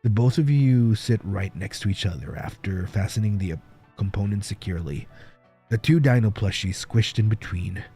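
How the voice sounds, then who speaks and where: A man livestreaming in a playful, casual way, chatting and reading stories aloud with a husky voice. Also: lowish voice, moderate pace, dark tone. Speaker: livestreamer, virtual streamer. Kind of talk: casual livestream chat and fan fiction reading. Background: background music.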